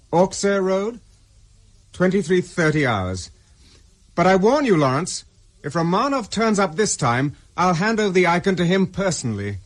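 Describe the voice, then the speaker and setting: An actor playing a wary, defiant man on the run, clipped and distrustful, in a radio spy drama. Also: a little high.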